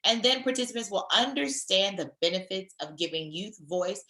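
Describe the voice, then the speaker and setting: A woman trainer leading a virtual session on a video call, her voice a little hoarse from a sore throat, speaking in an encouraging, conversational way. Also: medium pitch, expressive, normal speed, bright, forceful tone, roomy sound.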